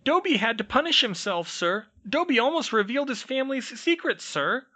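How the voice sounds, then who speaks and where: A man voicing a small, servile male house-elf with a very high squeaky voice and broken grammar, who calls everyone sir. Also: very animated, moderate pace, pushed and bright, heavily acted. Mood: servile whining.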